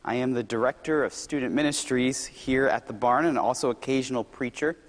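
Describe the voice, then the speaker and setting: A young man preaching a sermon to his congregation in a relaxed, self-deprecating, conversational way, his voice a little hoarse. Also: medium pitch, fairly quick. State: slightly hoarse. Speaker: youth director and occasional preacher. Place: large church hall. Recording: long hall reverb.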